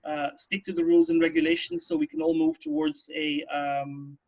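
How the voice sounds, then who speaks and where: Higher-pitched man hosting, dull recording. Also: big pitch swings, moderate pace, creaky, a little mellow. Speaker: radio host. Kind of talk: casual informative radio talk. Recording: dull, muffled band-limited sound.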